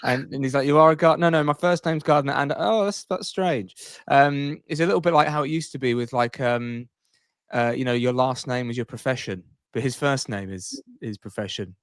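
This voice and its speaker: A man with a mid-pitched voice talks casually as a podcast co-host. He rambles in a playful, jokey manner, and the sound is very dry. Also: a little fast, no room sound.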